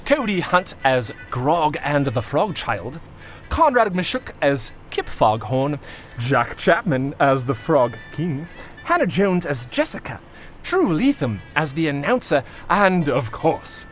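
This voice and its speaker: A man's voice: fairly high pitch, very animated, takes it slowly, fairly bright tone, soft background music, full character voice. A man playing a pompous, irritable chief inspector in a comedy audio drama, given to barking outbursts. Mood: self-important. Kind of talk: audio drama credits read in character.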